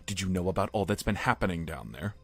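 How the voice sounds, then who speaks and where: A man's voice: low-pitched, lively intonation, fast talker, creaky, a bit bright, faint music behind, full character voice. A man playing a commanding, shaken scientist in charge in an audio drama. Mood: stern, demanding.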